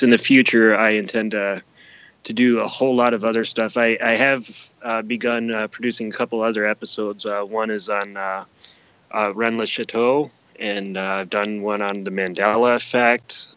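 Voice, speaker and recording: A man with a fairly low voice talks as a guest on an interview show. He explains things casually, step by step, with a halting conversational flow. The recording is very dry. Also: creaky, a bit bright, no echo, poor audio. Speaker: podcast guest, himself a podcaster and presenter.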